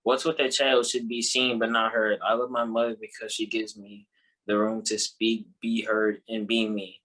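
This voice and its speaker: A man's voice: fairly low pitch, little pitch movement, moderate pace, sharp tone, rough recording. A young male poet reading his own spoken-word poem aloud. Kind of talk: spoken-word poetry recital.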